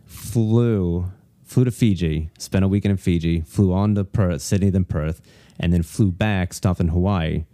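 A man with a very low, dark voice spins long, wandering stories on a podcast. He restarts his sentences often and chats casually. The sound is close and dry, with no room around it. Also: measured pace, no echo. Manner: rambling storyteller, many restarts. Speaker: stand-up comedian guest.

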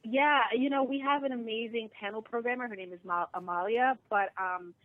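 A woman's voice: fairly high pitch, fairly quick, dry recording. A woman, a film festival founder, chatting as a guest on a radio show by phone, bubbly, laughing often and using many fillers. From Liberian family. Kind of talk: casual radio interview. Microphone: phone line. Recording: tinny dry phone line.

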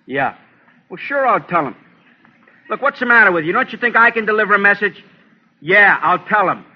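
Blunt American cop on dull old radio. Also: male voice, high voice, lively intonation, a little fast. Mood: irritated. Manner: blunt, colloquial, touchy. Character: gruff, needling male police colleague at headquarters. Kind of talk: 1950s radio drama acting. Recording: dull, faintly noisy old radio recording.

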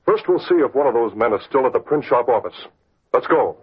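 An actor playing a decisive, authoritative masked crime-fighter in an old radio drama, with clipped delivery. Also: male voice, mid-range voice, lively intonation, fairly quick, close to an everyday voice. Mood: intent. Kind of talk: 1940s radio drama acting. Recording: tinny, scratchy old radio recording.

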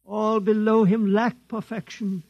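A man's voice: high voice, flat delivery, measured pace, darkish tone, highs cut off. A man playing a pompous old priest, dogmatic and corrupt, with a thin cracked voice in an old-time radio drama. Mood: stern, preaching. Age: older. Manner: solemn, pronouncing, sputters when challenged.

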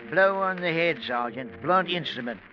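An actor playing a methodical police inspector in a 1940s radio drama, with a clipped, matter-of-fact manner. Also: male voice, a little high, animated, moderate pace, lightly acted. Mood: brisk, businesslike. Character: methodical Scotland Yard police inspector, brisk and businesslike. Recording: noisy, muffled vintage radio drama recording.

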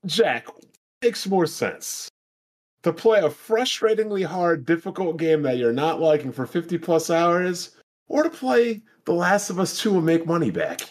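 A man's voice: on the high side, big pitch swings, measured pace. A man hosting a casual podcast, telling stories and bantering in slangy, profane language. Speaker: podcast host.

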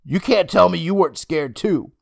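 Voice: mid-range voice, normal speed, creaky, darkish tone, no echo, studio quality, pitched above the performer's own voice. An adult reader voicing a defensive, easily annoyed young girl in a snappy, indignant way for a story.

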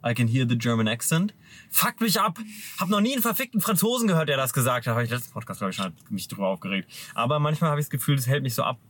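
A man's voice: mid-range voice, animated, fast talker, fairly bright tone. A young man hosting a casual podcast from a parked car, teasing his guest and swearing freely. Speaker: podcast host.

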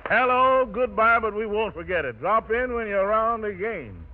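A man works as a vintage radio host in the 1940s jive style. His voice sits high and swings widely in pitch as he tosses out slangy, joking showman patter. The sound is narrow and tinny, like an old broadcast. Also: lively intonation, moderate pace, background noise. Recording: band-limited vintage 1940s radio recording.

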